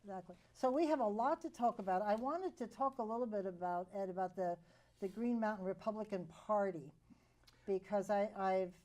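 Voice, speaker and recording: A woman's voice: medium pitch, brisk, darkish tone. An older woman hosting a casual panel talk, chatty and often chiming in with agreement. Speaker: talk show host.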